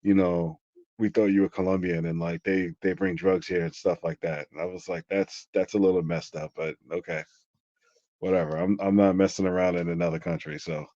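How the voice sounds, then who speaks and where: A man's voice: low voice, fairly even intonation, a little fast, mellow, dry recording. A middle-aged man telling a story in a casual, rambling way, full of "like". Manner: rambling, lots of "like". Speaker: interview guest. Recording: dull lo-fi sound.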